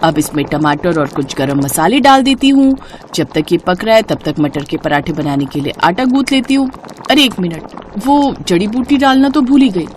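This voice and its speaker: A woman voicing a cheeky, bossy, sharp-tongued young bride-to-be in a cartoon story. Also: lowish voice, lively intonation, moderate pace, slight background noise, natural voice. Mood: matter-of-fact.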